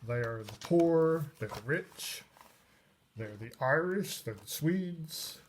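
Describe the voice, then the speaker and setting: A man giving a casual, unscripted review of a tabletop game book, pausing often mid-sentence. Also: a little high, animated. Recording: noisy recording with clicks.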